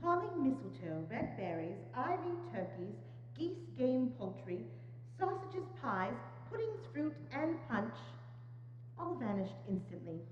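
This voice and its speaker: High-pitched woman narrator, animated. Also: measured pace. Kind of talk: scripted narration of a stage reading. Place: indoors, church. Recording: echoey church hall, dull, faint hum.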